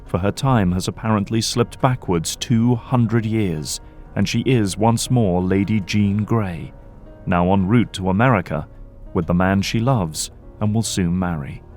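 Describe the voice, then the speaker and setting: Male narrator, grave dramatic storytelling, fairly low pitch, light music bed. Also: unhurried, darkish tone.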